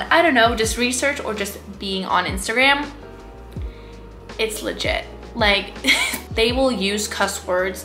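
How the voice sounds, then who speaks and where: A young woman talks casually and candidly to her camera, her plain under easy, filler-laden speech. Her pitch sits in a middle range for a woman. Soft music plays clearly behind her. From Sweden. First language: Swedish. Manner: chatty and candid, lots of "like". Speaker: vlogger. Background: background music.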